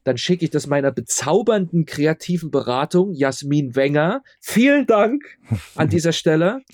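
Male audio drama producer in casual interview, lighter-pitched, informal, repetitive emphasis, close dry sound. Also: lively intonation, moderate pace, a little mellow, no echo. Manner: chatty, repeats words and phrases for emphasis. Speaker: radio play producer and director being interviewed.

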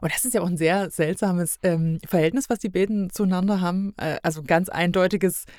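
A woman's voice: lowish voice, a little fast, darkish tone, no echo, studio quality. A woman talking casually as a podcast guest, giving her opinions in a review chat.

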